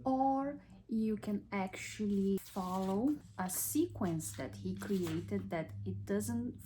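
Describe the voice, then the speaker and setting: A woman in her thirties whose first language is Portuguese, narrating a relaxed lifestyle vlog in a chatty way and restarting words now and then. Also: medium pitch, slow pace. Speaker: lifestyle vlogger. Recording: soft background music.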